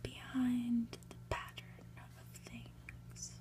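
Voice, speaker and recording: A woman whispers a story in an ASMR style, hushed and breathy. Her delivery is slow and deliberate, with a soft, dark tone and a slightly high pitch. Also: completely flat delivery. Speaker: host reading a graphic novel aloud.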